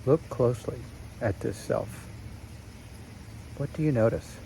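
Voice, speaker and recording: A man narrating a guided meditation in a slow, soothing way with long pauses. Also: medium pitch, gravelly, dark tone, a little hiss or hum, rough recording.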